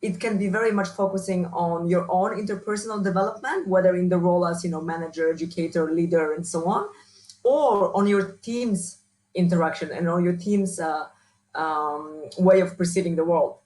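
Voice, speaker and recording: A woman with a strong Polish accent answering interview questions as a researcher and trainer, often restarting and filling pauses. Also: lowish voice, darkish tone, poor audio. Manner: hesitant, many restarts and fillers. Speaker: workshop speaker, researcher.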